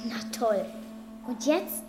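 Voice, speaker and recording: An actress playing a bold, scheming young pirate with a confident, brash manner in a German children's audio drama. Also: female voice, on the high side, big pitch swings, slow pace. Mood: confident, impatient. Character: bold, scheming young pirate crew member, cat owner. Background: music.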